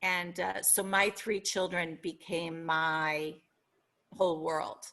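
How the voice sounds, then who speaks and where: A middle-aged woman with a Minnesotan accent, speaking as an interview guest in an earnest, reflective way, often pausing to search for words. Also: fairly low pitch, steady tone, a bit bright. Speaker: guest author. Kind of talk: podcast interview.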